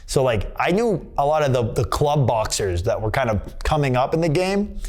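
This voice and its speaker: A man's voice: medium pitch, sing-song, moderate pace, darkish tone. A man, a boxer from Massachusetts, telling his story in a candid interview and circling back with lots of fillers and restarts. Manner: rambling, many fillers and restarts. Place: boxing gym.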